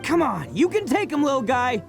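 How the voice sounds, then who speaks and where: An actor playing a brash, boastful oni brawler in a video game, boisterous and laughing, over game music. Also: male voice, extremely high pitch, wildly swooping pitch, normal speed, vocal fry, heavily acted.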